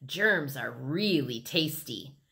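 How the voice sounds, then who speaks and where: A woman's voice: rumbling low, animated, slow pace, creaky, bright, forceful tone, voice pushed down, full character voice. A woman voicing a playful, bold five-year-old girl in a children's storybook. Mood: relishing.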